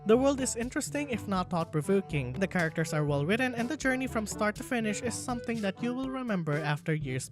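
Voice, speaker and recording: A woman narrating a scripted video game review in an earnest, chatty way on a failing home microphone. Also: medium pitch. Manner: earnest, chatty, a little rambling at the end. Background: background music.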